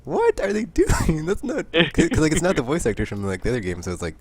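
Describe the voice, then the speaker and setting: A man's voice: fairly high pitch, huge pitch swings, husky. A man co-hosting a podcast, chatting casually about games and filling his talk with "like". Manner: fast, filler-heavy, says "like" constantly. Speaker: podcast co-host. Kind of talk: casual gaming chat.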